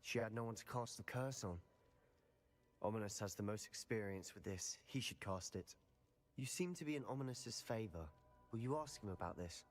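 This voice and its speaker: A man's voice: lowish voice, normal speed, lightly acted. A man playing an intense, persuasive teenage boy wizard in a scripted video game, impatient and determined. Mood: scheming. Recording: long hall reverb.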